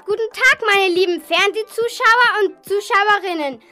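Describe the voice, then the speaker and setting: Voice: very high-pitched, measured pace, over music. A child playing a slick female TV presenter delivering a salesy advert in a children's radio play. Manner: salesy, direct address. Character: Cookie, slick female TV presenter selling a miracle product.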